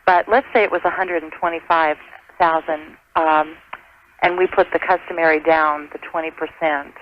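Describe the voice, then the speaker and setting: A woman's voice: sits low, steady tone, normal speed. A middle-aged American woman calling a radio show, chatting casually in a good-humored, slightly hesitant way over the telephone. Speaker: radio call-in show caller. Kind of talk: casual phone conversation. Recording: dull, faintly noisy telephone line.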